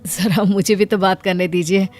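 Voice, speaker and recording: A woman's voice: mid-range voice, little pitch movement, normal speed, darkish tone, faint music behind, pitched below the performer's own voice, natural voice. A woman voicing a blunt, money-minded man in his mid-thirties, a formal and condescending suitor in a moral story. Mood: polite, probing. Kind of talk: moral story cartoon dialogue.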